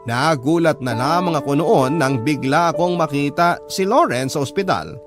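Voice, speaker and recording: A man's voice: on the high side, normal speed, natural voice. A man with a Filipino accent playing a sincere boyfriend, narrating his love story confidingly in a scripted radio drama. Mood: earnest confiding. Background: music under the voice.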